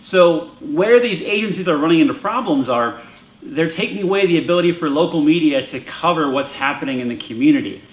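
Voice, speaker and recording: An American man gives explanatory commentary in a somewhat high-pitched voice. He is conversational but emphatic, laying out his opinion like a presenter. The recording has a strong room echo around his words. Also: expressive, a little fast. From United States. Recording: roomy echo.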